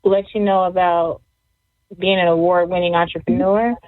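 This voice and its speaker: A woman's voice: fairly low pitch, level tone, normal speed, dry recording. A woman from Jamaica who grew up in Las Vegas, answering casually as an interview guest. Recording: dry, thin phone-like audio.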